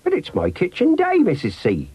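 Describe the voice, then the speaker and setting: Man playing a high-voiced, fussy old grandmother. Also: huge pitch swings, normal speed, darkish tone, slightly rough, full character voice. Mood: puzzled, obliging. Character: fussy elderly grandmother, easily puzzled, willing housekeeper.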